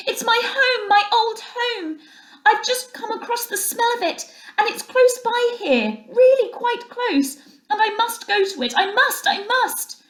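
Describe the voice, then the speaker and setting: A woman's voice: very high voice, fairly bright tone, reverberant, voice pushed up, full character voice, poor audio. A woman voicing a small homesick male mole in a storybook, pleading and calling out urgently. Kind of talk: storybook character voice.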